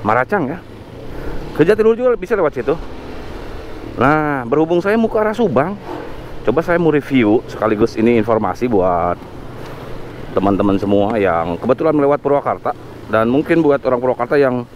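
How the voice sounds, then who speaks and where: A man chats his way through a ride on a scooter, stopping to search for street names. His pitch sits mid-range, and faint traffic hums under the helmet-mounted mic. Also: lively intonation, moderate pace, pauses often, a little mellow, muffled recording. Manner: chatty, thinks aloud, frequent fillers. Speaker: motovlog host. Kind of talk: casual narration while riding. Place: outdoors on a motor scooter in town traffic. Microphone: helmet or body camera mic. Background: faint traffic and engine noise.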